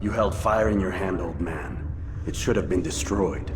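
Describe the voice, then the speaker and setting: An actor playing a stern, defiant young assassin student in a video game, speaking in a terse, grave and earnest way. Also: male voice, sits low, normal speed, noisy background, lightly acted. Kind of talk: video game cutscene acting.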